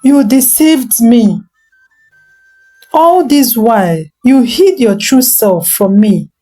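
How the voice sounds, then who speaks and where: Woman playing a distraught young prince, shouting, slow, storybook. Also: fairly high pitch, lively intonation, soft background music. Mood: anguished. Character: young prince, horrified and heartbroken.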